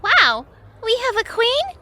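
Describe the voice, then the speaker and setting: A woman's voice: extremely high pitch, huge pitch swings, takes it slowly, background noise, exaggerated voice. A woman playing a naive, bubbly young waitress in a comedy audio drama, with a girlish, muddled way of talking. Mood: perky, confused. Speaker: voice actor playing a bar waitress. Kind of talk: community audio theatre comedy acting.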